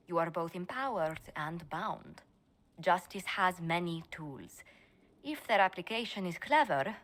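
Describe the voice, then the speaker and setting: An actress playing a composed, shrewd female advisor who speaks in a measured, formal way in a game. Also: lowish voice. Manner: measured, formal, quietly persuasive. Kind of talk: video game voice acting.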